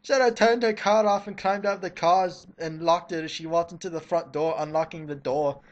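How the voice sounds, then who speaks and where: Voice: mid-range voice, fairly even intonation, brisk, highs cut off. A reader giving a mock dramatic reading in a theatrical, exasperated way, breaking off for sarcastic asides. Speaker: reader of fan fiction.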